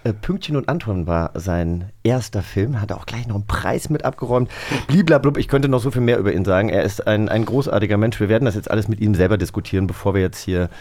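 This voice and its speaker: A man's voice: lowish voice, quick pace, darkish tone. A man co-hosting a podcast and interviewing in a casual, chatty style.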